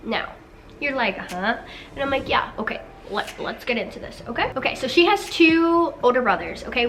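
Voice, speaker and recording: Bubbly high-voiced woman, stuffy nose. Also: pushed and bright. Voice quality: nasal. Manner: chatty, upbeat, talks straight to viewers. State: congested from a head cold. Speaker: beauty and storytime vlogger. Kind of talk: casual get-ready-with-me storytelling.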